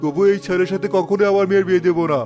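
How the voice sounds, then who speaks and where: A humble, protective village father from a cartoon, voiced by a man. His voice is high and hurried, earnest in manner, over prominent music. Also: steady tone, natural voice. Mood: desperate. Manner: earnest, pleading. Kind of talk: cartoon voice acting. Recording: loud background music.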